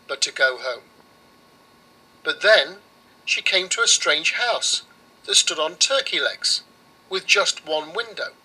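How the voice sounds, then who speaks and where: A man narrating a children's storybook in a measured, expressive way. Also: high-pitched, low-quality recording.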